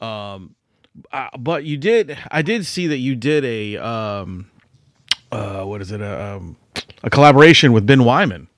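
A man with a mid-range voice co-hosts in easy, excited chat. The sound is dry and close, and he swears casually. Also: mid-range voice, a little slow, dry recording. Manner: enthusiastic, swears, says dude. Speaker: podcast host.

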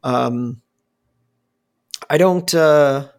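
A man's voice: medium pitch, extremely slow, clear, resonant tone. A man in his forties talking casually, rambling with lots of fillers and restarts and swearing freely. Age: 40s. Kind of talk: casual conversation.

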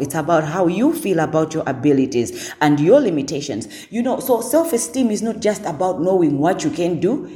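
Deep-voiced woman, mellow tone, talks firm and sure of herself, roomy echo. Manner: assertive, repeats words when emphasizing. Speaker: guest. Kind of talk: casual podcast conversation.

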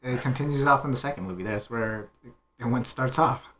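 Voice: medium pitch, a little fast, a bit bright, slight background noise. A podcast co-host chatting casually about a film, retelling moments loosely and laughing easily. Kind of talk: casual movie discussion.

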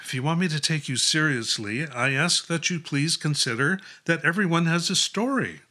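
Stern, bright-voiced priest headmaster. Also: male voice, on the high side, expressive, moderate pace. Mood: admonishing. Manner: curt, exasperated, admonishing. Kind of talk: audio drama acting.

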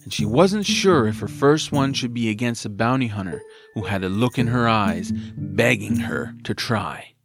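A man narrating a scripted story in an expressive, dramatised storytelling manner. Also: lowish voice, normal speed, few pauses, music in the background.

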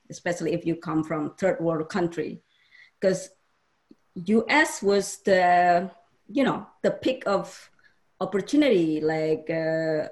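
A woman from Indonesia with an Indonesian accent, giving an informal personal talk as a panel speaker, haltingly searching for words. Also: lowish voice.

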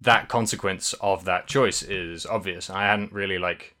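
Guy co-hosting a gaming podcast, low-ish voice but bright and forceful, rambles a lot. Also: steady tone, moderate pace. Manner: rambling, lots of fillers and restarts. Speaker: podcast co-host. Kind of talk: casual chat about video games.

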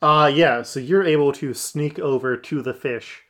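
Halting, relaxed game master. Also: medium pitch. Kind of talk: running a tabletop game.

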